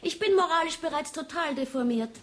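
A woman's voice: high-pitched, expressive, fairly quick. An actress playing a brazen teenage delinquent girl in a German radio drama, flippant and provocative. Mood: cheeky.

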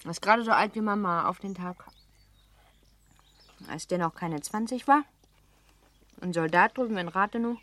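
A headstrong, well-born girl of seventeen played by an actress in a radio drama. Her voice sits a little low for a woman, and she chatters on with playful, teasing self-assurance. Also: lightly acted. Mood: confiding. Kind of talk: German radio play acting.

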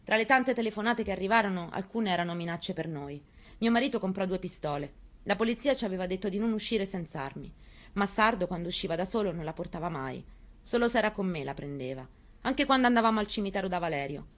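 A woman performing a dramatic reading of a memoir on the radio, telling it in the first person in a quiet, measured way. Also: medium pitch, expressive. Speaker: reader. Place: radio studio.

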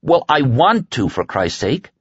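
A man's voice: medium pitch, sing-song, moderate pace, fairly bright tone, husky, dull audio, pitched above the performer's own voice. A man voicing an anguished, hot-tempered man in an audiobook, protesting with indignant emphasis as he refuses to abandon a friend. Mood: frantic protest.

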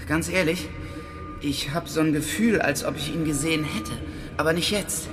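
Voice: mid-range voice, normal speed, background noise, lightly acted. An actor playing an edgy, exasperated young person in naturalistic radio drama dialogue, with colloquial delivery. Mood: uneasy. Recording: music under the voice. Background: music.

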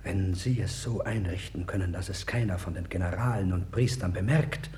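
A man's voice: deep voice, normal speed, darkish tone, natural voice. An actor playing a troubled, wavering king of ancient Judah in a formal 1960s radio play style. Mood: uneasy. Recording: worn vinyl record with clear noise.

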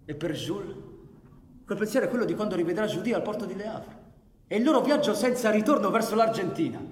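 Casting: male actor as proud, brooding anarchist outlaw, higher-pitched, theatrical radio drama, reverberant room. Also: slight background noise. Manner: dramatic, deliberate. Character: intense male anarchist bandit, proud, bitter and commanding. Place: live radio performance in an echoey space. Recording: reverberant echoey hall with music.

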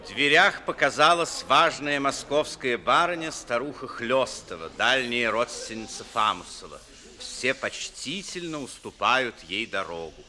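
A man's voice: medium pitch, lively intonation, measured pace, sharp tone. A man reading scripted narration for a classic Russian radio play, setting the scene between lines.

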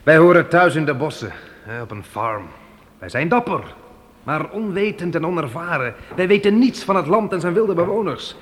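An actor playing a determined pioneer farmer leading a wagon train in a radio play, earnest and declamatory. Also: male voice, fairly high pitch, animated, normal speed, close to an everyday voice. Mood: humble, troubled. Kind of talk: Dutch radio play acting. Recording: noisy old radio play recording.

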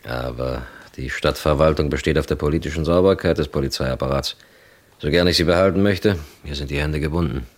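An actor playing a formal, evasive middle-aged police chief in a radio drama, measured and officious. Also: male voice, a bass voice. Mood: officious, firm. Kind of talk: German crime radio drama acting.

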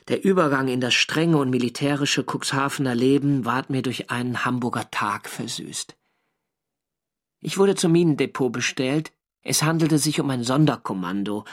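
Young navy diarist played by a man, mid-pitched, measured literary radio drama narration. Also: a bit bright, lightly acted. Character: young German navy sailor, diarist recounting war service. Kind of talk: scripted first-person radio drama narration.

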